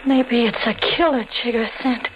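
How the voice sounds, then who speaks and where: An actress playing a frightened, pleading gangster's wife with plaintive, slangy delivery in a 1940s radio thriller. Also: female voice, on the high side, normal speed, close to an everyday voice. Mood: alarmed. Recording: dull old radio broadcast.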